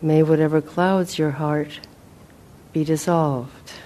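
A woman leading a guided meditation as a teacher, speaking gently and in a measured way with long pauses. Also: low-pitched, fairly even intonation, slight background noise.

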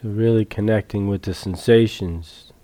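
Man, meditation guide, soft dark timbre, flat intonation, calm measured delivery, dry close sound. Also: on the low side, dry recording. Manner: calm, measured, long pauses between phrases. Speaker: meditation teacher. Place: retreat center meditation hall.

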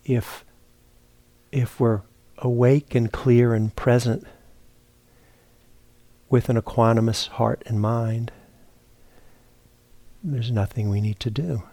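A man teaching a guided meditation, speaking in a halting, reflective way as he searches for words. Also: medium pitch, steady tone, dark tone, no room sound. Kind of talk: guided meditation talk, unscripted. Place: meditation retreat center, indoors.

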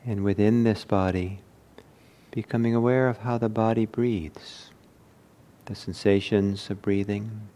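Male meditation teacher, lowish pitch, slow measured delivery in short phrases with generous pauses. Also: little pitch movement, dark tone. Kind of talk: guided meditation instruction.

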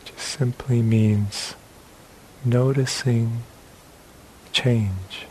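A man leading a guided meditation as a teacher, speaking in short phrases with long pauses between them. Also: medium pitch, steady tone, darkish tone, poor audio. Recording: hissy live talk recording.

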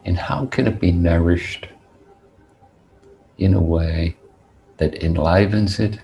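A man leads a guided meditation in a deep, creaky voice. He speaks very slowly and deliberately, leaving long pauses between measured phrases. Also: dark tone, muffled recording, slight background noise. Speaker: meditation teacher.

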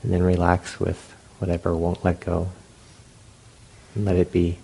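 A man leading a guided meditation as a teacher, speaking gently and unhurriedly in short phrases with long pauses. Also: deep voice, monotone, creaky, breathy edge, rough recording.

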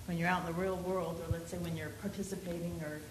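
A woman's voice: fairly low pitch, little pitch movement, fast, echoey room, background noise. A woman student in a meditation class asking a long, rambling question, searching for her words and restarting often. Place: meditation center hall.

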